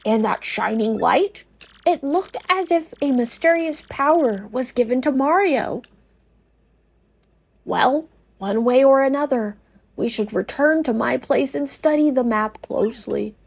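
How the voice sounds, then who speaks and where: A woman's voice: sits high, animated, a little slow, vocal fry, breathy edge, full character voice. A woman acts out an older male professor creature from a cartoon game. He is scholarly and fussy. He ponders, then explains in formal, earnest phrases. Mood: pondering.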